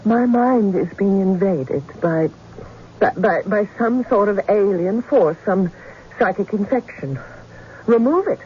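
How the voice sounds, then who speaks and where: A woman playing a tormented, haunted patient in a radio drama, speaking haltingly and intensely. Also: mid-range voice, animated, natural voice. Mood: desperate. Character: tormented patient describing an invasion of the mind. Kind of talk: old-time radio drama acting. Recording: dull, noisy radio drama recording.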